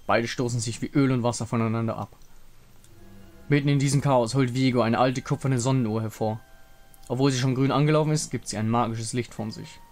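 A man's voice: mid-range voice, fairly even intonation, a little fast, a little mellow. A man giving scripted dramatic narration, reading out a fantasy game story.

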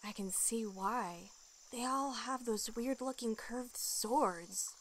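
A woman's voice: a little high, slow pace. A woman voicing a plucky young archer companion with a casual manner in a fantasy audio drama. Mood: curious, hushed. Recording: music behind the voice.

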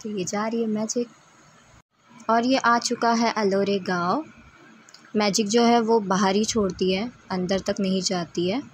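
A woman's voice: medium pitch, fairly even intonation, a little slow, slight background noise, rough recording. A woman narrating a casual travel vlog in a chatty way, speaking directly to her viewers. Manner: chatty, addresses viewers as friends. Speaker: travel vlogger.

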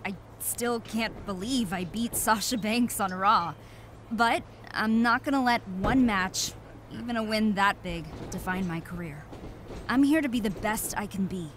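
A woman's voice: mid-range voice, measured pace, fairly bright tone, a little hiss or hum, lightly acted. A woman voicing a confident young female rookie wrestler who talks coolly. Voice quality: confident, cool.

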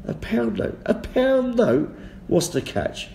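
A man's voice: very high voice, very animated, slow pace, creaky, mellow, slight background noise, exaggerated voice. A man playing a cheeky, incredulous Cockney working-class milkman in a homemade comic radio play. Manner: incredulous, chatty, repeats things back.

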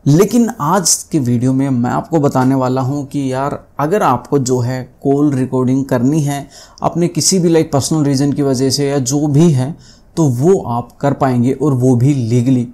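Mellow Indian man explaining tech. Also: medium pitch, a little slow. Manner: explanatory, conversational. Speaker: tech video host. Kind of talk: casual tutorial to camera. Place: small indoor desk studio.

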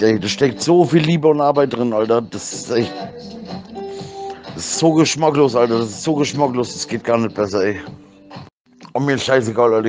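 Middle-aged man, medium pitch, casual and slangy, rambling voice-message delivery with frequent repeats. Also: darkish tone.

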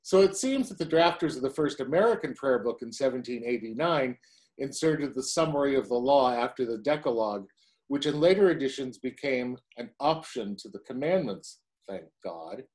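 A man's voice: medium pitch, expressive, normal speed, darkish tone. Older guy preaching at his desk webcam, voice goes up and down a lot, chatty with dry little jokes. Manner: conversational, wry asides. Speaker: pastor. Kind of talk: sermon.

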